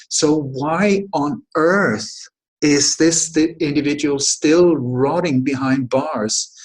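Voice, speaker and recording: Halting middle-aged man. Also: fairly high pitch, poor audio. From Sweden. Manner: halting, frequent restarts and self-corrections, emphatic. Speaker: interview guest, author. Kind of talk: long conversational answer.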